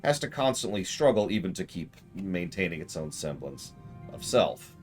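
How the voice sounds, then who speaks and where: A man's voice: low-pitched, animated, normal speed. A man doing casual live stream commentary on a game, explaining his ideas at length and thinking aloud. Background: game music.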